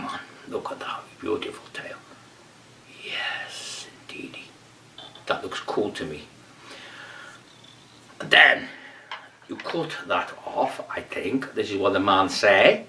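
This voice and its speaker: A man's voice: low-pitched, gravelly, pushed and bright, distant mic, slight background noise, full character voice. A man playing a rambling Brazilian amateur fly tier in a comic tutorial, with a put-on Brazilian accent, broken English and muttered whispered asides. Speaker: fly tying demonstrator.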